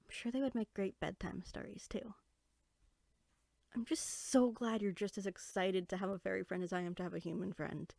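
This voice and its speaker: A woman's voice: medium pitch, normal speed, vocal fry, no room sound, natural voice. Chatty little fairy, acted by a woman, dry close recording. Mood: eager, friendly. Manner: chatty, expressive, conversational. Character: small excited bookish friendly young female fairy. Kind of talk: roleplay audio drama, one side of a conversation.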